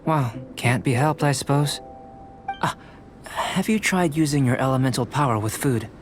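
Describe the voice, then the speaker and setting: A calm young male scientist from a video game played by an actor, with a mid-pitched voice. He speaks formally and thoughtfully, curious and politely intellectual, with music behind him. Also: normal speed, lightly acted. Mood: musing, inquisitive. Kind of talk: video game character acting.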